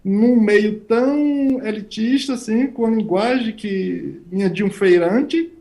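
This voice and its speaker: A man's voice: high voice, slow pace, mellow. Plain-spoken Northeastern Brazilian man in his thirties. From Bahia backlands, Brazil. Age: 30s. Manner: plain-spoken, emphatic, talks in short stacked phrases. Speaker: scientist guest. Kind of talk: interview answers.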